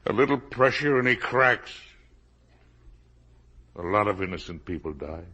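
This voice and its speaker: An actor playing an older, guilt-ridden, blustering father in 1950s radio drama, with a gravelly, raspy voice. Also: lowish voice, lively intonation, a little slow, heavily acted. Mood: broken, resigned. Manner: blustering, emphatic, swinging from muttered to shouted.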